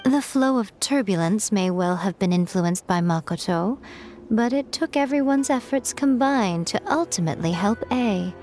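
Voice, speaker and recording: A woman's voice: medium pitch, expressive, darkish tone, slight background noise, lightly acted. A woman playing an elegant, sly fox shrine maiden in a video game, playful and wry. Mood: reflective. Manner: playful, self-assured, wry, drawn-out phrasing. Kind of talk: English video game voice acting.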